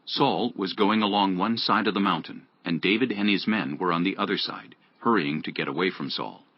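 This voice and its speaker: A man's voice: sits low, normal speed, no echo. A man narrating scripture as a scripted dramatized audiobook. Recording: dull lo-fi audio.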